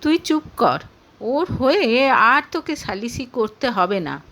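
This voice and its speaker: A woman's voice: on the high side, sing-song, slow, a bit bright, exaggerated voice. A woman voicing a haughty, scornful middle-aged mother in an audio story, interrogating and snapping with contempt.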